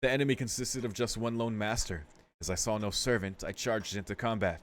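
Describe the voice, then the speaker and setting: Low-voiced man doing a casual let's play. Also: brisk, slight background noise. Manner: casual, swearing, muttered asides. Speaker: let's play streamer reading a visual novel aloud. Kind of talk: casual gaming commentary mixed with read-aloud narration.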